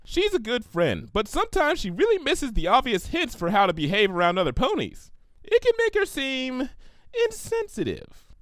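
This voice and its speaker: A man voicing a bubbly, silly young female cartoon pony, chirpy and excitable, in a story reading. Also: very high-pitched, moderate pace, no echo, pitched above the performer's own voice, full character voice. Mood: earnest, soothing.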